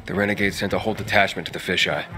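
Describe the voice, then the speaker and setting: A man voicing a calm, matter-of-fact wanderer carrying orders. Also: sits low, very fast. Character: steady male wanderer, calm, dry. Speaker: messenger, player character. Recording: cutscene with faint score music.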